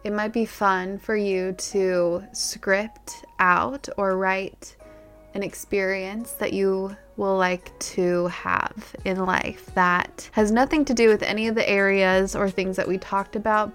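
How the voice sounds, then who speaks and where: A woman's voice: mid-range voice, fairly even intonation, slow, fairly bright tone, soft background music. A woman hosting a podcast, giving a reflective self-help monologue and speaking to the listener in an encouraging way. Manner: addresses listener directly, encouraging. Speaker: podcast host.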